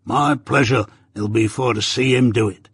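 A man reading an audiobook voices a rough old male ex-convict who dotes and blusters in a broad rustic dialect. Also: mid-range voice, steady tone, moderate pace, no echo, studio quality. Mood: boastful. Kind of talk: audiobook character voice.

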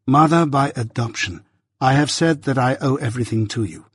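A male narrator gives voice to a young adopted daughter in a classic novel. The speech is proud and cool, measured and unyielding, recorded dry and close. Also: medium pitch, husky, dry recording. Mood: composed.

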